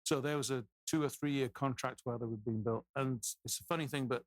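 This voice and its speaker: A man's voice: mid-range voice, fairly even intonation, a little fast, no echo. A man in his fifties with an English accent, a podcast guest telling stories in a loose, rambling way. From England. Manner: rambling, anecdotal, many restarts. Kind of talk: casual storytelling interview.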